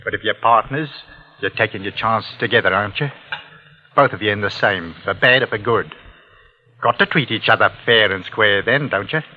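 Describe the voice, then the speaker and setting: An actor playing a scheming, wheedling working-class crook in an old-time radio drama, with a sly, coaxing manner. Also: male voice, lowish voice, moderate pace, slight background noise, natural voice. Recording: muffled vintage radio recording.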